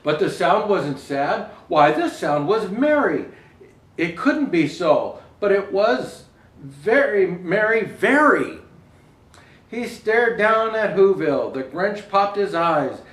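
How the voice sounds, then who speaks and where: High-pitched, slow male storyteller, roomy sound. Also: very animated, fairly bright tone. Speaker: storyteller in Santa Claus persona. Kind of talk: rhyming children's storybook reading. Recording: roomy echo, distant microphone.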